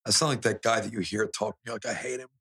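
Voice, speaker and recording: Cocky, husky-voiced young guy teasing. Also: on the low side, fairly even intonation, fast, sharp tone. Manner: cocky, teasing, swears freely. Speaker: podcast co-host. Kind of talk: casual joking banter.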